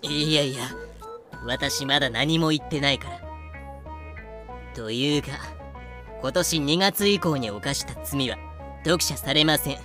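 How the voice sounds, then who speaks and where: A man's voice: fairly high pitch, unhurried, pauses often, over music, voice pushed down, close to an everyday voice. A man voicing a haughty, spiteful colonial governor with scornful, sarcastic, feminine phrasing in a fan audio drama. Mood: curt, stern.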